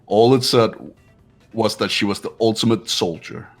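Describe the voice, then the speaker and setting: A man playing a proud, analytical high school student in a fan-dubbed video game, speaking in a haughty, deliberate way. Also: steady tone, lightly acted. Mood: cool, analytical. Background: game music.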